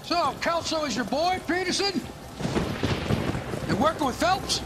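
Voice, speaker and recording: Brusque, high-pitched police chief. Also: male voice, expressive, unhurried, heavy background noise. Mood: probing. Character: shrewd, wary police chief sizing people up. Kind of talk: video game dramatic acting.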